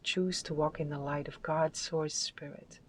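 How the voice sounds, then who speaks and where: A tarot card reader giving a spiritual reading with affirmations, in a soft, soothing and unhurried voice that sometimes drops to a whisper. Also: mid-range voice, fairly even intonation, slight background noise. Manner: soft, soothing, unhurried delivery with drawn-out phrases.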